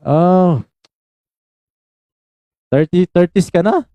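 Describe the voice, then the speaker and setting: A man's voice: high-pitched, lively intonation, takes it slowly, mellow, clear, resonant tone, no echo, lo-fi sound. A man in his twenties with a Filipino accent, hosting a casual live stream, switching between Tagalog and English and calling out viewers by name. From Philippines. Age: 20s.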